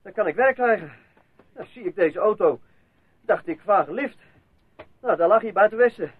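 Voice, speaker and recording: A man's voice: high voice, animated, moderate pace, husky, dry recording, phone-quality sound. A man playing an eager-to-please, down-on-his-luck drifter in a radio drama, speaking colloquially and deferentially. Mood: earnest, eager. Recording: thin, band-limited old radio play recording.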